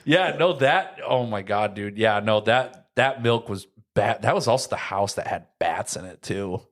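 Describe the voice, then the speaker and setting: A man's voice: lowish voice, animated, moderate pace. Young American guy telling casual stories. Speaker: podcast host.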